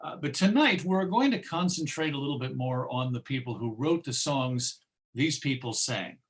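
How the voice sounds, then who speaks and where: A man's voice: medium pitch, lively intonation, normal speed. A man presenting an informal illustrated lecture in a conversational, explanatory way.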